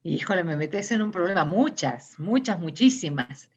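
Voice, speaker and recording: A middle-aged Argentine woman with an Argentine Spanish accent, answering interview questions in a thoughtful, searching way with many pauses and restarts. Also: on the low side, expressive, darkish tone, breathy edge, dull audio. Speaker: interviewee, discourse analysis scholar. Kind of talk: reflective conversational interview.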